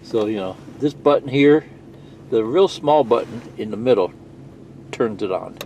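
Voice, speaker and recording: A man talks through a piece of equipment in a casual, unscripted way, at an ordinary middle pitch. His manner is folksy and reassuring, with frequent little check-ins. The recording is clearly noisy, with static hiss behind him. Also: animated, measured pace, warm tone, dull audio. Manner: folksy, reassuring, often checks in with "okay" and "you know". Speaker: presenter of a CB radio how-to video. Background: CB radio static hiss.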